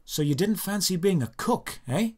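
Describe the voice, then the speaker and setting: A big brawny miner played by a male narrator, gentle and encouraging. The voice is surprisingly soft and informal, pitched on the high side, with lively movement in an audio-drama telling. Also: moderate pace. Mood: friendly, encouraging. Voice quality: oddly soft. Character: huge muscular miner man, friendly, soft-spoken.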